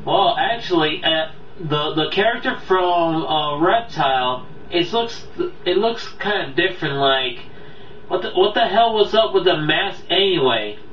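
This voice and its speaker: A man hosting a casual countdown review, talking off the cuff in a rambling, halting way that often loses its thread. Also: a little high, lively intonation. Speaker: video countdown host and reviewer. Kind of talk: casual unscripted review talk to viewers. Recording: roomy, distant, dull mic, faint noise.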